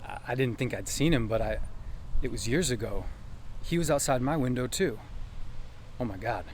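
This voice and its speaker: An actor playing a candid, flustered young American man from a small town in an audio drama, speaking haltingly. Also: mid-range voice, lively intonation, a little mellow, slight background noise, lightly acted. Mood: rattled. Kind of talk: naturalistic audio drama dialogue.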